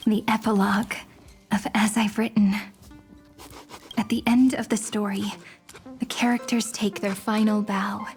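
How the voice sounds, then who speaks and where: An actress playing a gentle, wistful young woman saying farewell in a video game, speaking softly and poetically. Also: fairly high pitch, lightly acted. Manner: soft, poetic, unhurried. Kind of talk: scripted video game dialogue.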